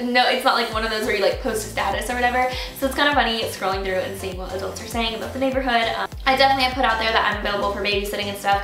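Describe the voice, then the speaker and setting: A woman in her early twenties with an American accent, chatting casually to camera as a lifestyle vlogger in a youthful, chatty way. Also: on the high side. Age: early 20s. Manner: chatty, youthful, frequent "like" and "kinda". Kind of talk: casual scripted-free vlog chat to camera. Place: small furnished bedroom, indoors. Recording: roomy bedroom echo, faint music. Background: faint music.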